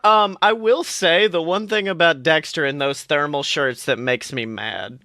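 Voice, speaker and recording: A man co-hosting a podcast in casual pop-culture chat, animated, slangy and given to swearing. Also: on the high side, measured pace, dry recording, studio quality. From United States. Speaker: podcast co-host.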